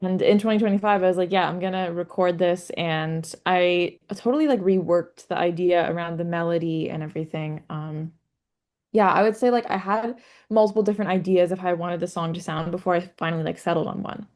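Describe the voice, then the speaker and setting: A woman's voice: fairly low pitch, a little fast, a little mellow. Chatty nineteen-year-old woman. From Canada. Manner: chatty, frequent "like" fillers. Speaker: singer-songwriter guest. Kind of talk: casual interview. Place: remote call.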